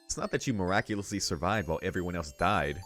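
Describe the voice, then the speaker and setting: A man reading a visual novel aloud as a let's play host, in measured narration with casual, slangy asides. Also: low voice, animated, fairly bright tone. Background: music.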